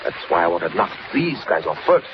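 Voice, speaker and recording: Menacing radio gangster boss, dull noisy recording. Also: male voice, low voice, big pitch swings, brisk. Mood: scheming, commanding. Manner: bossy, terse, urgent. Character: menacing male gangster boss giving hurried orders. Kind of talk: 1940s radio serial acting.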